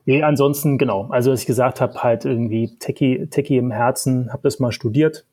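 A man's voice: mid-range voice, brisk, a little mellow. A man from Berlin with a Berlin accent, a tech guest on a podcast, talking casually with many fillers and hesitations. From Berlin, Germany.

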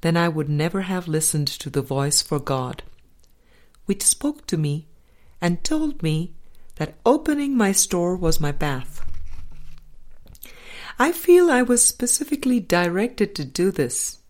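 Slow, low-pitched woman reading aloud. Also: big pitch swings, darkish tone. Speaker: audiobook reader. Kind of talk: scripted spiritual book reading.

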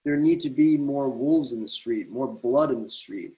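A man acting a defiant, taunting loudmouth. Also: mid-range voice, moderate pace, lightly acted. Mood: defiant, angry. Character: defiant rowdy large man with a radio, taunting police. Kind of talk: screenplay table read.

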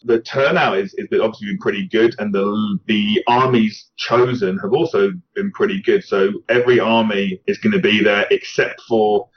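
A man's voice: fairly low pitch, steady tone, moderate pace, few pauses, slightly rough. A man with a Scottish accent, talking casually as a podcast guest and often restarting his sentences. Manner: rambling with frequent restarts. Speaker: podcast guest, tournament organizer. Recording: slightly muffled podcast audio.

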